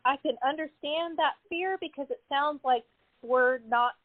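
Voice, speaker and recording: A woman with a fairly high voice coming through a thin telephone line. She speaks earnestly and steadily as an educator, pausing on ums while she thinks. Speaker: educator at a special school. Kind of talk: panel answer on a call-in town hall. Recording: tinny phone line.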